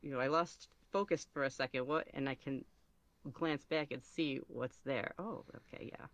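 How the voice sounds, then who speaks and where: A man's voice: medium pitch, normal speed, fairly bright tone. A man speaking casually as an interview guest, rambling and somewhat self-conscious as he goes. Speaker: podcast guest, ASMR roleplay creator.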